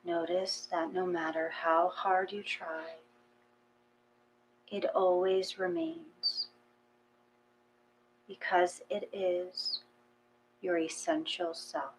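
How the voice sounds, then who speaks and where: A woman reading a gentle guided meditation in a calm, measured manner, with long pauses between short phrases. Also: fairly low pitch, a little hiss or hum, poor audio.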